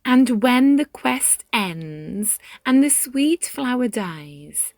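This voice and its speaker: A woman reading a rhyming children's story aloud in an expressive, measured way, with dramatic pauses and hushed moments. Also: a little high, no echo. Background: background music.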